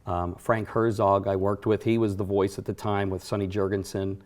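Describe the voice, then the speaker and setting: Middle-aged male guest, low voice, rambling storyteller, studio interview. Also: level tone, normal speed. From Johnstown, Pennsylvania area. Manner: reminiscing, warm storyteller with fillers and self-corrections. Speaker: guest, racing announcer. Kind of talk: casual interview chat.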